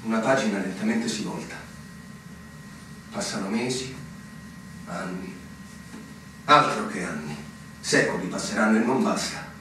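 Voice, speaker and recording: An actor playing an ailing middle-aged army major in a literary radio drama, brooding and pleading in a theatrical manner. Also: male voice, lowish voice, a little slow, long pauses, sharp tone, slight background noise. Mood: weary, resigned. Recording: distant, very echoey, music underneath.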